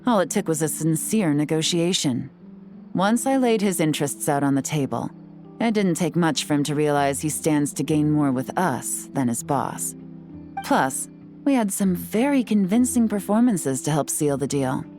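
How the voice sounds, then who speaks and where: A woman's voice: low voice, expressive, moderate pace, mellow, soft background music. A woman voicing a cool, shrewd intelligence operative in a video game, composed, wry and in command. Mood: wry, satisfied.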